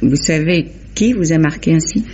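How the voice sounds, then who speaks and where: Resourceful female amateur sleuth, played by an actress, old radio drama. Brisk, capable, reassuring, on a slightly hissy, muffled recording. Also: low voice, mellow, natural voice. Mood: probing. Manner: urgent commands, soothing assurances. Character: brisk, resourceful woman rescuer, urgent yet reassuring. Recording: dull old radio recording, faint noise.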